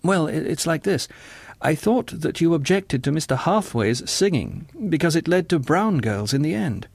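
A man's voice: a little high, big pitch swings, normal speed, creaky, a little mellow, breathy edge, dry recording, pitched above the performer's own voice, exaggerated voice. A man voicing an earnest, naive young man in an audiobook, hesitant and politely protesting. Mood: troubled, protesting.

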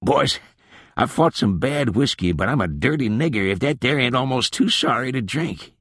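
A worn-out old barfly, as a male reader puts him on, drawling in rustic dialect and pitched well above the reader's low voice. He sounds weary and wry, with a lively, sing-song lift and a close, dry sound. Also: normal speed, breathy edge, no echo. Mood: grumbling. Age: older. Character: aging Southern drinker, weary and wry male.

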